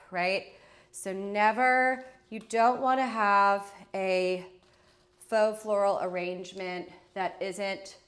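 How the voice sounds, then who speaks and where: A woman in her thirties hosts a casual live shopping stream, sounding nasal and stuffed up from a head cold. She chats in an easy, joking way and pokes fun at herself as she goes. Her pitch sits in a middle range for a woman. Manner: chatty, jokey, self-teasing, lively swings in pitch and loudness.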